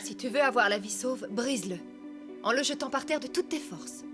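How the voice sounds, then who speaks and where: A woman's voice: fairly high pitch, normal speed, over music, close to an everyday voice. An actress voicing a bold, decisive young woman in a French cartoon dub. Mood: commanding. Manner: decisive, commanding. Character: bold, firm young Romani dancer woman.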